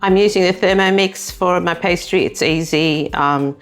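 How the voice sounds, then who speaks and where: A woman with a South African accent talks casually through a recipe in a slightly low voice, explaining each step as she goes. She sounds middle-aged and at ease in a home kitchen, with soft music playing underneath. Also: moderate pace. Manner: chatty, explains steps as she works. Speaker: cooking show co-host. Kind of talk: casual cooking demonstration.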